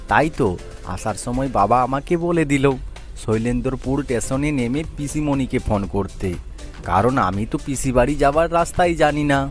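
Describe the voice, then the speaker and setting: A man's voice: mid-range voice, lightly acted. A man voicing an earnest teenage boy in a cartoon story. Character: teenage boy Abhimanyu, travelling to his aunt's village, earnest. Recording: music behind the voice. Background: background music.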